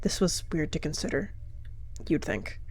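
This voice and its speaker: A woman's voice: low-pitched, big pitch swings, normal speed, slight background noise. A woman as a secretive guilty she-cat. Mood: hushed. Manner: tense, hushed, defensive.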